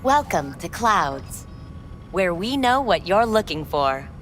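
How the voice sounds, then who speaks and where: An actress playing a poised, courteous female receptionist at a futuristic club in a video game, with a formal, measured manner. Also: on the low side, sing-song, slight background noise. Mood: polished. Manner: formal, measured, smoothly sales-like. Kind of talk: video game dialogue acting.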